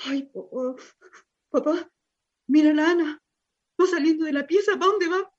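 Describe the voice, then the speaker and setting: A woman's voice: high-pitched, moderate pace, lightly acted. A woman with a Chilean accent playing an earnest, insistent, distraught daughter protecting her sister in a radio drama. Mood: urgent pleading. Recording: dull, lo-fi sound.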